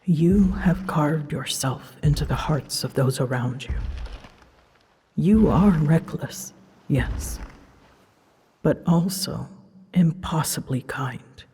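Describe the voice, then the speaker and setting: A woman playing an ancient female dragon in a fantasy ASMR drama, solemn and tender, speaking softly in measured, formal phrases and often near a whisper. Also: low-pitched, exaggerated voice. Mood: tender, earnest. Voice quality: soft, breathy, husky, often dropping into a whisper. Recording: long cavernous reverb.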